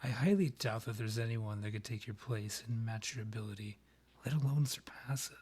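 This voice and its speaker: A man playing a proud, courtly vampire monarch in a scripted ASMR roleplay, speaking formally and with measured, theatrical phrasing in a husky, breathy voice. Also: on the low side, a little mellow. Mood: indignant, sincere.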